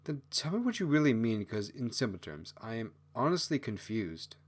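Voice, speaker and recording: A man's voice: medium pitch, sing-song, normal speed, husky. A man voicing a proud, imperious female fox spirit in an audiobook fantasy story. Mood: confused.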